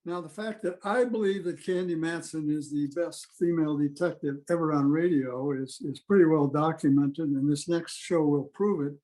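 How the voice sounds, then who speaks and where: A man gives informal introductions to clips as a club presenter. His voice is mellow and slightly high for a man, heard over a video call. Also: moderate pace, hardly any pauses. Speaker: presenter at an old-time radio club meeting. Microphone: video call microphone.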